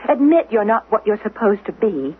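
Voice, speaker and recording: Guarded, husky wife in radio drama. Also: female voice, mid-range voice, big pitch swings, moderate pace. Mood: scornful, indignant. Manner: defensive, sardonic. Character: guarded, indignant wife and mother in future society. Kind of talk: 1970s radio drama acting. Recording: thin phone-like old radio broadcast.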